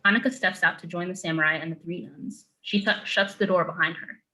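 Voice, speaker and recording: A woman narrates a screenplay's stage directions. Her voice sits a little low but has a very bright, forward tone. She reads matter-of-factly in clipped phrases. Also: steady tone, fairly quick, low-quality recording.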